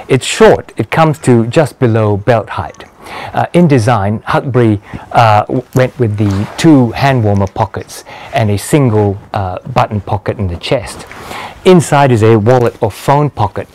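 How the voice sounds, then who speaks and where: A man in his sixties giving a casual product review to camera in a measured, understated, conversational way. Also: medium pitch, a little mellow, a little hiss or hum. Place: small walled garden patio, outdoors. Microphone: lapel mic.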